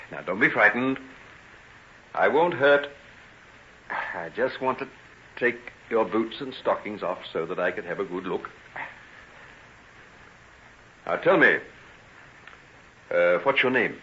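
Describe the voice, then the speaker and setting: A dull, noisy vintage radio recording carries a troubled, gentle doctor. His voice sits fairly low yet bright, moving expressively as he soothes and hesitates. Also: expressive. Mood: gentle, soothing. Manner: kindly, halting, soothing. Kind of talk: old-time radio drama acting.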